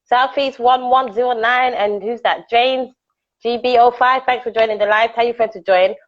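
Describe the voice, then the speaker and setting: Excitable woman hosting a live stream. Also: fairly high pitch, normal speed. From United Kingdom. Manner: excitable, chatty, greets viewers by name. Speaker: host. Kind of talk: casual live-stream interview. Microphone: phone on a live video call. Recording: dull lo-fi live-stream audio.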